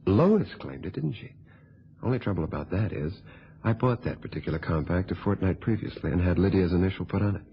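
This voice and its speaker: An actor playing a cool, calculating blackmailing reporter in a radio thriller, with a husky voice and a smug, sardonic way of telling things. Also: male voice, deep voice, animated, a little hiss or hum. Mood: sly, expository. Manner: smug, sardonic, unhurried storytelling. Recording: dull, lo-fi old radio recording.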